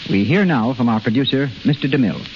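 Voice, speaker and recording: A man's voice: medium pitch, animated, fast talker. A man announcing in the scripted style of 1930s radio. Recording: muffled, very noisy 1930s radio broadcast.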